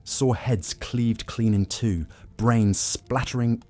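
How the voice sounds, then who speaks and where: A man voicing a grizzled, world-weary old pirate with a rough, raspy voice, telling grim tales in sailor dialect for a story. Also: mid-range voice, steady tone, slow pace, dark tone, soft background music, pitched above the performer's own voice, natural voice. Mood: intense, grim.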